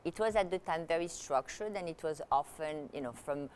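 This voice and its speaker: A woman's voice: low voice, moderate pace, a little mellow, slight background noise. A woman who grew up in Italy, a guest in an interview, talking through her career in a chatty way with many fillers and restarts. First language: Italian. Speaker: guest, luxury consultant and author. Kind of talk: conversational interview.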